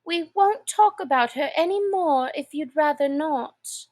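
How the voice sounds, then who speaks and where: A woman voicing a polite, eager young girl in a storybook, chatty and quick to apologise. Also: high voice, animated, a little mellow, pitched above the performer's own voice. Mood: soothing, dreamy. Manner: apologetic, soothing, dreamy rambling. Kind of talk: storybook character voice.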